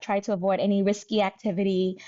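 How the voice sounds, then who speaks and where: A woman's voice: mid-range voice, flat delivery, fast talker, dark tone, dull audio. A woman in her thirties, originally from Nigeria, talking as a guest physician in an interview, thoughtful and candid. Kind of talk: conversational interview.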